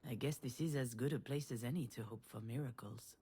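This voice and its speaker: A woman's voice: a bass voice, fairly quick, dark tone, breathy edge, heavily acted. A woman playing a protective, reflective older sister in a naturalistic video game drama, earnest and wry. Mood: wry, musing. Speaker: game protagonist. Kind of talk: naturalistic drama voice acting, dialogue and inner monologue.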